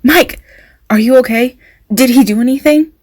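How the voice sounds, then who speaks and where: A woman's voice: on the high side, normal speed, darkish tone, natural voice. An actress playing a friendly young woman who apologizes for her father.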